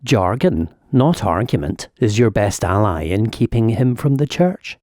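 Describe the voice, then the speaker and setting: A man's voice: on the low side, expressive, unhurried, darkish tone, dry recording, studio quality. A man voicing an urbane senior demon in a dramatic audiobook, lecturing his young nephew with pompous, sly smugness. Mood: sly, instructive. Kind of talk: dramatic audiobook reading of epistolary satire.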